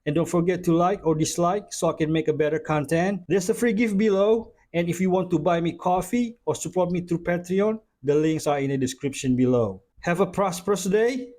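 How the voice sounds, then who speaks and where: A man's voice: high-pitched, brisk, few pauses, darkish tone. A man who is not a native English speaker, hosting a reaction video with casual, friendly commentary. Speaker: channel host reacting to clips.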